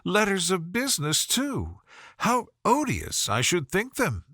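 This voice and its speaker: Storybook role: male reader as a fawning society miss, high-pitched, bright and swooping, flirtatious and eager to please. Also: wildly swooping pitch, unhurried, slightly rough, no echo, studio quality, full character voice. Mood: gushing, flattering. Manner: effusive, fawning. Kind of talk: audiobook character voice.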